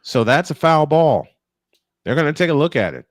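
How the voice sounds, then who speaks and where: A man's voice: a little high, very animated, normal speed, no echo, clean studio sound. A man working as a play-by-play announcer, giving live baseball commentary mixed with chat.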